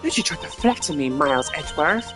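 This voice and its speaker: A man's voice: a little high, big pitch swings, fast talker. A man voicing a strict, haughty young female prosecutor who scolds and commands, over game music. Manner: imperious, scolding.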